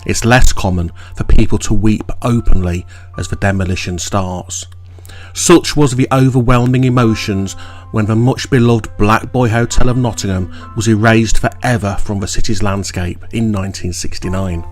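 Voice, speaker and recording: A man reading a scripted local history documentary in a measured, formal way. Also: fairly low pitch, fairly even intonation, soft background music. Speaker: documentary narrator.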